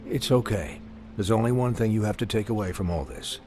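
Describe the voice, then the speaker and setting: Man playing a low-voiced, dry game physician. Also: music in the background, close to an everyday voice. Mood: calm, advisory. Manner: measured, clinical, dry. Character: matter-of-fact male physician, dry and advisory. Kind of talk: video game voice acting. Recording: faint score under the voice.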